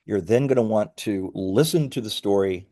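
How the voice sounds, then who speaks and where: A man's voice: lowish voice, normal speed, dark tone. A man speaking as a company founder in an interview, explaining conversationally with emphatic, self-correcting phrasing.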